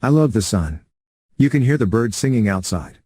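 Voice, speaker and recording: A man's voice: lowish voice, sing-song, moderate pace, mellow, no room sound. A synthetic man's voice reading short sample sentences with a lilting intonation. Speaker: text-to-speech demo voice.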